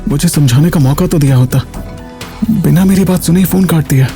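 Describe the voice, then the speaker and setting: एक आदमी का निभाया नरम आवाज़ वाला दब्बू दामाद. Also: male voice, a little high, brisk, mellow, faint music behind, close to an everyday voice. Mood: aggrieved. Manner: hesitant, apologetic, helpless. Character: meek, anxious, browbeaten young live-in son-in-law. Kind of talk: audio drama acting.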